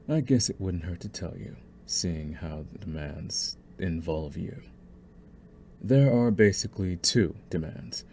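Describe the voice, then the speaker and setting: A low, deep male voice of an actor plays a young mafia boss in an audio roleplay. He is smug and possessive, taunting the listener with theatrical, condescending menace. Some faint noise sits behind the voice. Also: a little mellow. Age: young adult. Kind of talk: scripted ASMR audio roleplay.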